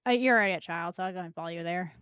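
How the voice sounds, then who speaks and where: A woman's voice: on the low side, rapid-fire, a bit bright, smooth, no echo. A woman chatting casually as a co-op gaming partner, easygoing, informal and slangy. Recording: faint game music behind. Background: game music.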